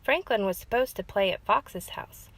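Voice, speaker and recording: A woman's voice: mid-range voice, normal speed, a bit bright. A woman hosting story time, reading a children's storybook aloud as narrator.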